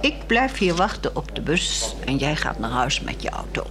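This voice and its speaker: A woman acting a weary, resolute married woman in a 1960s radio drama, speaking in a measured, articulate and wry way. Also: low voice, very animated, crowd noise. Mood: resolute, sad.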